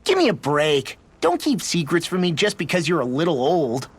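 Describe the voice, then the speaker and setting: A man's voice: a little high, huge pitch swings, normal speed, husky, full character voice. An actor playing a brash but earnest teenage boy fighter who talks informally in a video game cutscene. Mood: prodding.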